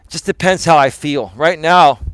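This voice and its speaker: A man live streaming outdoors on his phone while walking, talking to viewers in a rambling, emphatic way, sounding tired and a little hoarse. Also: a little high, moderate pace, dry recording. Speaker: life coach and live streamer. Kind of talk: casual live stream talk to chat. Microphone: phone camera held while walking.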